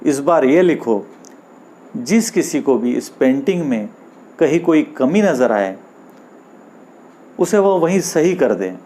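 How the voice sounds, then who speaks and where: A man voicing a wise, reassuring friend in a moral story, giving measured, instructive advice. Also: on the high side, big pitch swings, mellow, a little hiss or hum. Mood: calm, advising. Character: wise, reassuring male friend advising a dejected painter.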